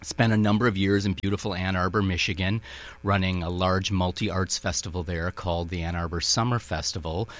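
A man's voice: deep voice, steady tone, fairly quick. A middle-aged man with a Minnesota accent, a museum director talking through his answers in a radio interview with lots of fillers and hedges. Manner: thoughtful, many fillers and hedges.

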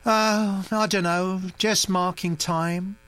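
A man's voice: sits high, fairly even intonation, slow pace, a bit bright, smooth, no echo, voice pushed up. A man reading an audiobook, voicing a young woman artist who talks in a lazy, placid, offhand drawl.

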